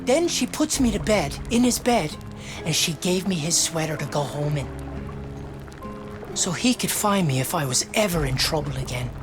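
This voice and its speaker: A woman's voice: deep voice, lively intonation, normal speed. A woman playing a young, homesick, haunted soldier with a Newfoundland accent, speaking in a plain folksy way in a video game drama. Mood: wistful wonder. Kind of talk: video game drama, first-person storytelling. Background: music.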